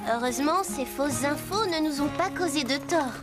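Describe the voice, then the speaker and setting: A woman's voice: high-pitched, animated, moderate pace, over loud music. A woman voicing an earnest, conscientious young girl horse rider in a French cartoon dub. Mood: concerned.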